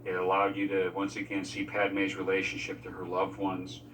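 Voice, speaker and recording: A man with a low but bright, forceful voice explains filmmaking decisions in an interview. His delivery is measured and explanatory. The microphone is slightly distant and a faint hum sits underneath. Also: completely flat delivery. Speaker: film crew member discussing editing decisions. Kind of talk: explanatory behind-the-scenes interview commentary.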